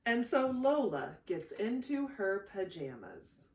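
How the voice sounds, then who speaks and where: A woman reading a children's picture book aloud in an expressive storytelling style. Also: mid-range voice, takes it slowly, a little hiss or hum. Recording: echoey room, distant microphone.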